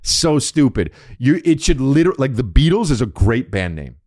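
Rambling profane man, lively pitch. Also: normal speed, no room sound, studio quality. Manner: loose, profane, rambling with lots of "dude" and "like". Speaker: podcast co-host. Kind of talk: casual crude banter.

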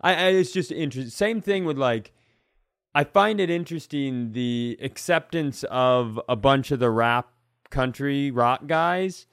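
A man with a smooth, resonant voice, recorded dry and close. He chats casually as a podcast co-host, circling around his point and rephrasing as he goes. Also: medium pitch, measured pace, fairly bright tone, dry recording. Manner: rambling, self-correcting, often restating himself. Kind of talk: casual comedy podcast chat.

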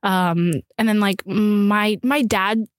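A woman's voice: medium pitch, slow, dry recording, studio quality. Young woman, chatty storyteller. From United States. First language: Urdu. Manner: animated storytelling, peppered with "like". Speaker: guest. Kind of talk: casual chat.

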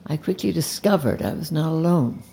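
A solemn, mellow-voiced cult leader. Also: male voice, fairly high pitch, lively intonation, gravelly, a little hiss or hum. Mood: solemn conviction. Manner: measured, solemn, deliberate. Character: calm, zealous male leader of an anti-technology movement. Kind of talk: immersive audio drama acting.

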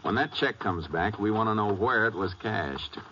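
An actor playing a businesslike, courteous Texas Ranger who investigates and narrates in a 1950s radio western. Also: male voice, fairly low pitch, animated, normal speed, heavy background noise, lightly acted. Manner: clipped, courteous, authoritative. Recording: lo-fi 1950s radio recording with music.

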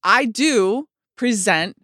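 A woman with a lower voice than most women, speaking candidly in a conversation with many likes and restarts. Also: lively intonation, slow pace, pushed and bright, dry recording, clean studio sound. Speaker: guest sharing her experience.

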